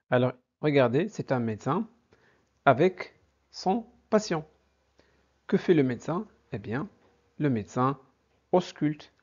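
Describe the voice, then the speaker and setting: Male teacher, unhurried and methodical, repeats phrases for learners, slightly muffled recording. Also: medium pitch, darkish tone. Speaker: French teacher. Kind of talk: slow vocabulary lesson. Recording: dull lo-fi recording.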